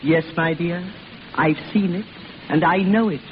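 An actress playing a frank, kindly old grandmother in a 1940s radio drama, gentle, knowing and amused. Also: on the low side, a little slow, natural voice. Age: older. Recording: muffled, hissy old radio recording.

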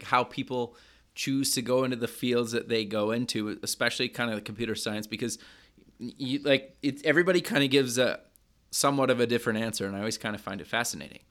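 A man's voice: medium pitch, pushed and bright. A man hosting an interview podcast, chatty and upbeat, with many fillers and restarts. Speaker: podcast host.

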